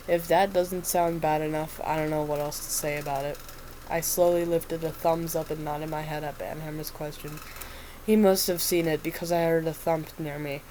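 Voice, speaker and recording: A woman's voice: low voice, mellow, a little hiss or hum, lightly acted, rough recording. A woman with a stuffy nose reading the part of a weary male doctor telling his story in first person.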